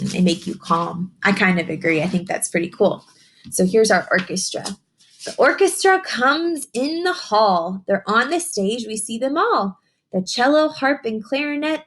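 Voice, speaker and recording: A woman reads to young children in a teacherly way. Her voice sits at a middle pitch with a slightly husky, unpolished edge. She speaks simply and encouragingly, moving between storybook reading and chatty explanation. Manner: encouraging, addresses young listeners with simple questions. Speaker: teacher reading a picture book aloud to children. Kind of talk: children's read-aloud with chatty explanations and questions.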